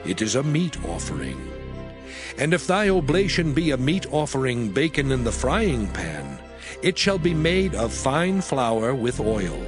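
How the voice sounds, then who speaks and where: A male narrator reads scripture as a scripted, dramatized audio Bible. His delivery is solemn and formal, with measured phrasing and a widely varied intonation at a medium pitch. Music plays clearly behind his voice. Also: sing-song. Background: background music.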